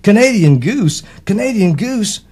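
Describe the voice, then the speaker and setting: A man's voice: sits high, sing-song, measured pace, darkish tone, slightly rough, voice pushed up, full character voice. A man voicing a friendly, earnest young male duck in a children's storybook, speaking in simple singsong rhyme. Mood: curious. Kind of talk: rhyming children's storybook character.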